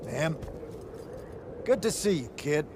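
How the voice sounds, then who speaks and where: A wry old battlemage veteran in a fantasy game. He talks colloquially and gruffly, like an uncle, in a voice a little high for a man, with noticeable ambience behind him. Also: very animated, slow, very noisy, full character voice. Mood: wry, casual. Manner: colloquial, dry, gruffly avuncular. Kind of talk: video game acting.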